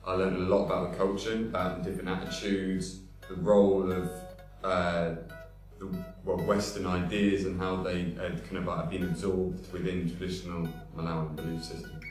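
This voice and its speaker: Man in his twenties, deep voice, lots of uhs, distant echoey mic, music behind. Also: flat delivery, normal speed, a little mellow. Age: 20s. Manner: hesitant, with many uh fillers. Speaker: returned volunteer. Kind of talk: interview answers. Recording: echoey room from far off.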